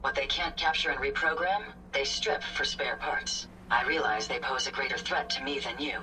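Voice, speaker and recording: A woman playing a polite, analytical female robot companion in a video game, speaking in formal, measured, precise phrases. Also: rumbling low, bright, forceful tone. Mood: grave, informative. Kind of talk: scripted video game dialogue. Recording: distant sound with game music behind.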